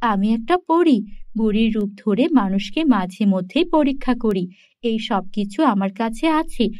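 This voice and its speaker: A woman's voice: fairly high pitch, lively intonation, a little slow, darkish tone, no echo, pitched below the performer's own voice. A woman voicing a gracious, approving female fairy in a cartoon fairy tale. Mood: gracious, impressed. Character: gracious, approving female fairy who rewards good people.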